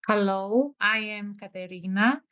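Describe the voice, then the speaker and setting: A woman, a customs officer, introducing herself carefully and haltingly on a video call. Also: medium pitch, fairly bright tone, smooth. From Greece.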